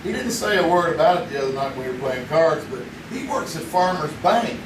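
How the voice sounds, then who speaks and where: A man's voice: a little high, animated, a little fast, background noise, close to an everyday voice. A man playing a goofy, naive young fellow. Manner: hesitant, goofy, good-natured. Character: naive young man JC, comic-book reader. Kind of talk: 1950s-style radio drama acted live on stage. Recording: echoey theater sound from a distant mic.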